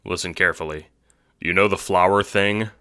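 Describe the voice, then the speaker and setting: A man playing a laid-back teenage boy time traveler in an audio drama, speaking in an offhand, slangy deadpan. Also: low voice, moderate pace, background noise. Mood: deadpan, casual. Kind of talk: audio drama character dialogue.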